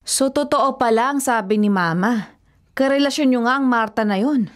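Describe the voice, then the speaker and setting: A woman's voice: a little high, normal speed, close to an everyday voice. A woman playing an indignant adult daughter in a Filipino radio drama, scolding and pleading insistently.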